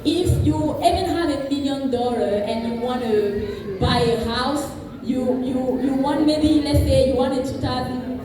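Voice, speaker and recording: A woman's voice: high-pitched, a little slow, a crowd in the background. A woman giving an informal seminar talk, emphatic and repeating words for stress. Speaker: guest speaker on credit and home buying. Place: large echoey indoor hall. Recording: distant mic in echoey hall, clear background noise.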